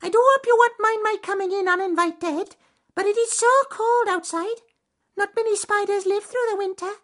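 A tiny male spider for a storybook. The voice is extremely high, small and wheezy. He speaks politely and plaintively, like someone frail and grateful for kindness. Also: normal speed, a bit bright.

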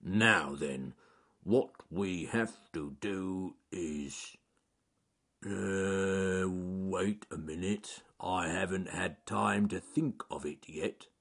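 A man voicing a slow-witted, well-meaning bear who dithers and halts over his words in a children's story. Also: low voice, vocal fry, a bit bright, exaggerated voice. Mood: puzzled.

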